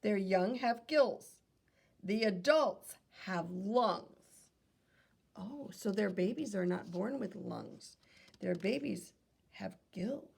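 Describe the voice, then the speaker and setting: Female reader for a kids' nonfiction read-aloud. Creaky edge, mid-pitched, teacherly, with off-the-cuff asides. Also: lively intonation, takes it slowly, a little mellow. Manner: teacherly, asks questions and answers them, self-correcting. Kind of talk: reading a children's nonfiction book aloud with asides.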